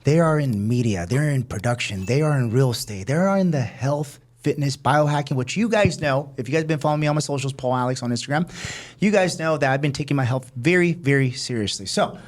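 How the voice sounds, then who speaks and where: A man's voice: mid-range voice, brisk. A man hosting a podcast interview, chatty and enthusiastic, with frequent affirmations. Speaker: podcast host. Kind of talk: casual interview chat.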